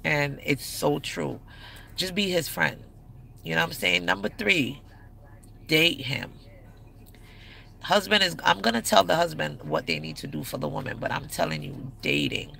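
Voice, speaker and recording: A woman's voice: low-pitched, sing-song, normal speed, vocal fry, pauses often, pushed and bright, rough recording. A woman in her thirties giving relationship advice in a blunt, candid, slangy way. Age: 30s. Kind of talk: casual advice talk to camera.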